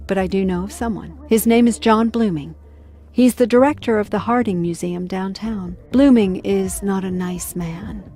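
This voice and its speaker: An actress playing a cool, assured female vampire mentor in a video game, speaking in a measured, slightly formal way. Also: medium pitch, mellow, faint music behind, close to an everyday voice. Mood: composed, instructive. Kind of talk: video game cutscene acting.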